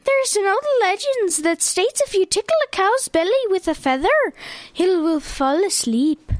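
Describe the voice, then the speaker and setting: Pert leprechaun heckler played by a woman, tiny high voice, dry close sound, comic radio play. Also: expressive, moderate pace, dry recording. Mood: wry. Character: small quick-witted leprechaun council member, eager heckler.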